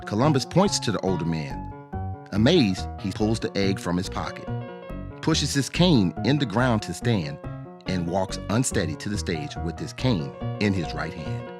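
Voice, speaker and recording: A man narrating a scripted radio drama as a storyteller, in a measured, dramatic way. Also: low voice, very animated, music in the background.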